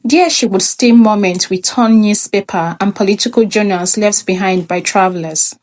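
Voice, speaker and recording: A woman reading a scripted folktale as a storyteller, measured and expressive. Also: medium pitch.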